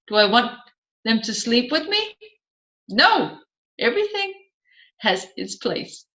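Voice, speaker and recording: A woman reads scripted seminar material as an audiobook. Her delivery is slow and deliberate, with emphatic rhetorical questions. The recording is a little muffled, with a noticeable room echo. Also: a little high, pushed and bright. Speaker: audiobook narrator. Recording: slightly dull, roomy echoing sound.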